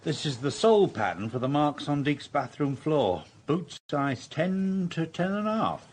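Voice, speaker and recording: A man's voice: a little high, very animated, a little slow. An actor playing a plain-speaking male police sergeant in a radio drama, with a dry, clipped manner. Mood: matter-of-fact.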